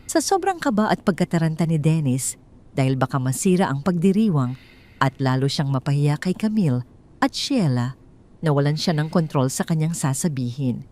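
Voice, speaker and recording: Filipino woman narrator with low, swooping intonation. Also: sing-song, moderate pace, warm tone. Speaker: storyteller, audiobook narrator. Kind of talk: scripted dramatic story narration.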